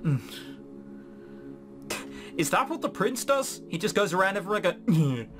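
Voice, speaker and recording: A very animated gaming host with a mid-pitched voice gives casual, sardonic commentary. The delivery swings up and down in incredulous, sweary asides, with faint music underneath. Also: moderate pace. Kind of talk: casual let's play commentary, reading game dialogue aloud.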